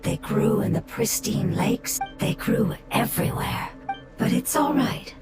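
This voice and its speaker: A woman voicing a gentle, wistful spirit in a video game, soft, breathy and measured. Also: fairly high pitch. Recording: loud game music behind voice.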